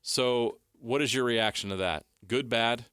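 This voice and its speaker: A man's voice: medium pitch, a little slow, a bit bright, clear, resonant tone, no echo, clean studio sound. A man hosting a sports radio show, steering the talk and asking a former player for his take. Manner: steers the talk, reads sponsor copy.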